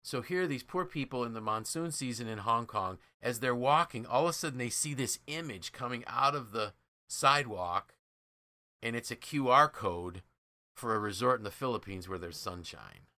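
A man's voice: medium pitch, lively intonation, normal speed, pushed and bright. An American man co-hosting a casual business podcast, explaining things through enthusiastic storytelling. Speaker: podcast co-host.

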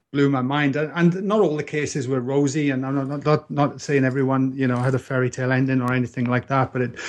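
A Welsh man in his thirties, a podcast guest telling his story in a casual interview, earnest and reflective with frequent restarts. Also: mid-range voice. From Wales.

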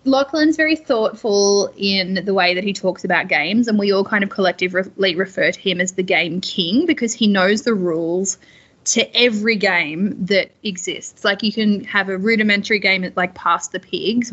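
A woman's voice: mid-range voice, fairly bright tone, highs cut off. An Australian woman co-hosting a podcast, talking thoughtfully and chattily about an earlier interview. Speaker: podcast co-host. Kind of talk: podcast commentary.

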